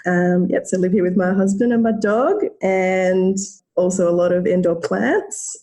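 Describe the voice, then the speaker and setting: A woman from Australia with an Australian accent, a relaxed guest in a casual interview over a video call. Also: lowish voice, moderate pace.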